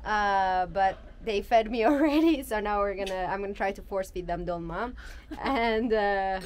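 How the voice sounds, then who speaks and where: A woman's voice: mid-range voice, moderate pace. Young woman vlogging outdoors over background noise. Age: young adult. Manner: chatty, playful. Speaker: travel vlogger. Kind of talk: casual travel vlog talk. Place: mostly outdoors, porch, garden and street. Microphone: camera at arm's length, sometimes small handheld mic.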